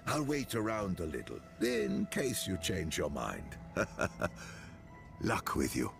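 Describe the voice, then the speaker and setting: A man's voice: low-pitched, huge pitch swings, slow pace, creaky, exaggerated voice. A man playing a humble, friendly farmer with a folksy way of talking in a video game. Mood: helpful. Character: humble, grateful male farmer, friendly and wry. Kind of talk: video game character acting. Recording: music behind the voice.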